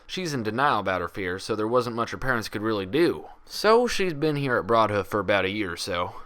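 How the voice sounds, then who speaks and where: A man's voice: lowish voice, big pitch swings, brisk, a bit bright. A man voicing a frank, proud, friendly female pony doctor with a folksy way of talking, in a story reading. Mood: frank, explaining.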